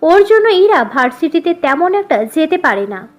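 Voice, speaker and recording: A woman's voice: very high-pitched, sing-song, normal speed. A woman playing a concerned, protective young sister-in-law in an audio story drama.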